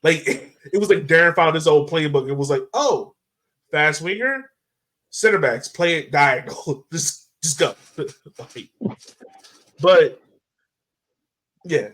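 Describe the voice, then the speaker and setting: Male podcast host, higher register, informal and chatty, casual game breakdown. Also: expressive, slow, long pauses, a bit bright. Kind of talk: casual match analysis chat.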